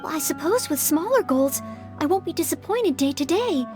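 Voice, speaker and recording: A woman's voice: very high-pitched, animated, moderate pace, darkish tone, faint music behind. A woman voicing a polite, earnest, self-doubting teenage maid-knight girl in a video game, speaking formally with some hesitation. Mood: thoughtful, earnest. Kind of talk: English video game voice acting.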